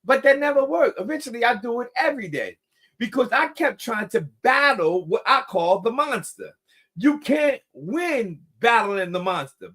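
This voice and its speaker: A man's voice: extremely high pitch, very animated, normal speed, fairly bright tone. A middle-aged man leading a recovery group, giving a motivational talk that repeats phrases for emphasis and calls the listeners y'all.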